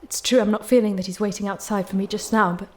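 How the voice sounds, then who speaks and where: A woman's voice: medium pitch, steady tone, fast talker, close to an everyday voice. An actress playing a troubled, guilt-ridden woman in an audio drama, subdued, resigned and polite. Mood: haunted, resigned.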